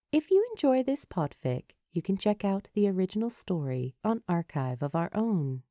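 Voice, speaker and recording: Mellow, expressive woman narrator. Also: lowish voice, dry recording, clean studio sound. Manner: expressive, deliberate reading. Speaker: fan fiction podfic narrator. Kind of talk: scripted storytelling narration.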